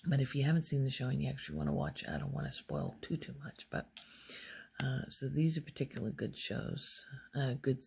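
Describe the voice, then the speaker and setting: A woman speaking softly in an ASMR ramble, breathy and near a whisper at times, musing hesitantly as she thinks aloud. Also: rumbling low, sing-song, fairly quick. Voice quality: soft, breathy, often slipping toward a whisper. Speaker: ASMR video creator. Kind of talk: soft-spoken ASMR ramble.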